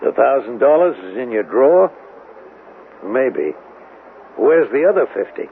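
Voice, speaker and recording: An actor playing a sceptical police interrogator, probing and accusatory, in a 1970s radio drama. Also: male voice, medium pitch, very animated, a little slow, creaky. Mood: accusing, sceptical. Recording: noisy phone-like old radio drama recording.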